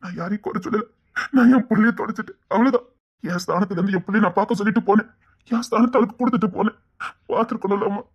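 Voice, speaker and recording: A man's voice: high-pitched, racing, husky, lo-fi sound. An actor playing a grieving father who weeps and pleads for his missing child, repeating himself over and over. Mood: distraught. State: crying. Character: grief-stricken father, desperate and stubborn. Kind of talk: tearful serial drama acting.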